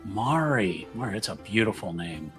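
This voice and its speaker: A man's voice: fairly low pitch, sing-song, normal speed, fairly bright tone, soft background music. A man voicing a short, flirtatious, easygoing tavern regular in a tabletop game, informal and repeating himself. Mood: flirty, easygoing.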